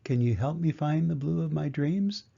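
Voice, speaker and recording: Wistful storybook little boy, older male reader, earnest rhyming lilt, mellow husky tone, dull audio. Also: a little high, animated, normal speed, voice pushed up, heavily acted. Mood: wistful, hopeful. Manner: earnest, sing-song rhyming. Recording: dull, muffled lo-fi sound.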